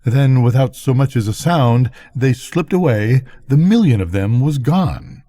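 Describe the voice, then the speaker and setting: A man's voice: medium pitch, lively intonation, unhurried, dark tone, no room sound. A man voicing a musing, folksy, lonely lighthouse keeper in a bedtime storybook reading. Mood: musing wonder. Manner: thoughtful, folksy.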